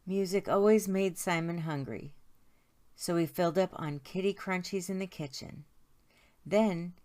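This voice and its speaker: Low-pitched woman reading a children's story. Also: unhurried, warm tone. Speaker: storytime host reading aloud. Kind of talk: expressive children's picture book narration.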